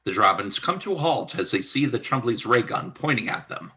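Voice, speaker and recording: A man's voice: mid-range voice, sing-song, a little fast, pushed and bright. A man reading scripted narration for a radio play as its narrator.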